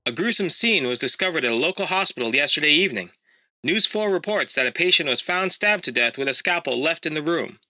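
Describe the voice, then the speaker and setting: An actor playing a TV news anchor delivering reports with crisp broadcast delivery in an audio drama. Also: male voice, a little high, expressive, a little fast, sharp tone, no echo. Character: male TV news anchor, polished.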